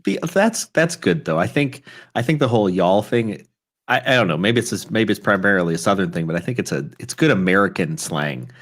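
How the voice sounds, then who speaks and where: Deep-voiced guy hosting a live stream chat, rambly, restarts a lot. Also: animated, a little fast, fairly bright tone. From Chicago area. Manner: chatty, rambling, frequent restarts. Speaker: talk show host.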